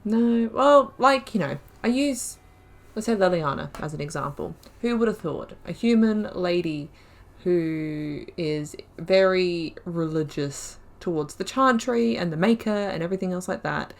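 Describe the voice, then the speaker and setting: A woman's voice: lowish voice, expressive, unhurried, vocal fry. A woman giving chatty let's-play commentary, thinking aloud with many fillers. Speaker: gaming YouTuber.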